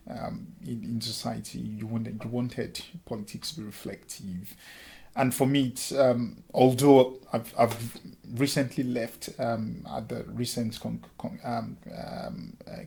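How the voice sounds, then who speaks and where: Give me an earnest Nigerian man in his thirties, with a slightly husky voice. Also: medium pitch, darkish tone. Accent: Nigerian with a light Scottish touch. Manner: earnest, many ums and restarts. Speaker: interviewee, lecturer and former councillor. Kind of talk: reflective interview answers.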